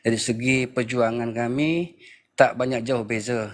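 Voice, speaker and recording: A man's voice: medium pitch, fairly even intonation, a little slow. A man in his fifties with a Malaysian accent gives informal political commentary, speaking emphatically with repeated phrases and rhetorical questions. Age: 50s. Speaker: panel speaker in a live political discussion room.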